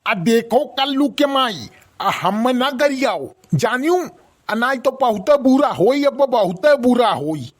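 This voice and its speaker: A man's voice: very high-pitched, sing-song, a little slow, heavily acted. A man voicing a scolding, sarcastic village father in a comic cartoon, with an Awadhi accent. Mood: angry scolding. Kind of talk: comic rustic cartoon dialogue.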